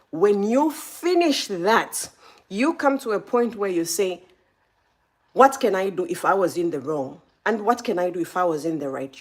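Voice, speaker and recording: A woman from Zambia hosting a live stream, giving motivational advice and speaking straight to her viewers. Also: medium pitch. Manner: animated, speaks straight to viewers, greets chat by name.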